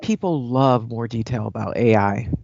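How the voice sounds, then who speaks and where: A woman's voice: extremely low pitch, normal speed, vocal fry, darkish tone, no echo, dull audio, heavily acted. A woman playing a polite, professional current-affairs host in an audio play. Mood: casual, friendly. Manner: courteous, professional.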